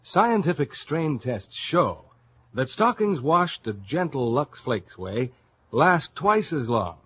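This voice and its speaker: A man's voice: fairly high pitch, very animated, takes it slowly, fairly bright tone, husky, no room sound. A man announcing in the scripted style of a 1950s radio advertisement. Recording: muffled old radio broadcast.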